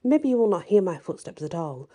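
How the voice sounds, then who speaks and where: A woman reading a storybook letter aloud in a soft, husky voice, with a gentle, measured delivery. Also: on the low side, big pitch swings. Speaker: channel host and story reader.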